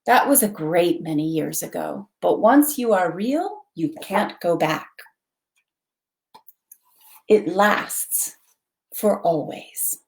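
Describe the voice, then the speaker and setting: A woman voicing a wise, gentle old male toy horse in a storybook, explaining things patiently and truthfully. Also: on the low side, lively intonation, slow, creaky, long pauses, heavily acted. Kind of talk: storybook character reading.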